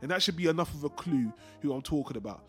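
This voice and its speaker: A high-pitched male podcast host talks quickly and casually about films, with music underneath. He rambles and digresses freely, sharing his opinions as he goes. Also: expressive, breathy edge. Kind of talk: casual film discussion podcast.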